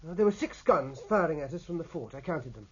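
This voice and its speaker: An actor playing a keen, respectful young British naval lieutenant in a 1950s radio drama, speaking in a measured, persuasive way. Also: male voice, on the high side, lively intonation, close to an everyday voice. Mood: quietly persuasive. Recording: muffled vintage radio recording.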